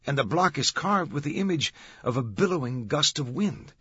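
A man's voice: a little high, animated, moderate pace, bright, forceful tone, husky, no room sound, lo-fi sound, pitched above the performer's own voice, heavily acted. A man voicing an earnest young male guard, eager and deferential as he explains in an audiobook thriller. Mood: earnest explaining.

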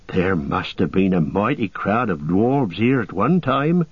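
A man's voice: deep voice, very animated, measured pace, husky, no echo, dull audio, exaggerated voice. A man voicing a humble, plainspoken young hobbit in a homely, naive, lilting manner for an audiobook. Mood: wondering.

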